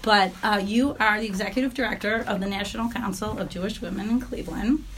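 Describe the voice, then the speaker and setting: Hoarse, upbeat woman podcast host. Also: medium pitch, fast, a bit bright, slight background noise, poor audio. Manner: upbeat, enthusiastic. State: hoarse from laryngitis. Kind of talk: scripted intro then interview questions.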